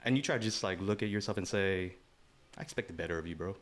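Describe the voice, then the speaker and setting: A man's voice: low-pitched, quick pace. A man co-hosting a podcast in casual chat, laid-back, saying "right, right, right" and swearing freely. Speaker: podcast co-host.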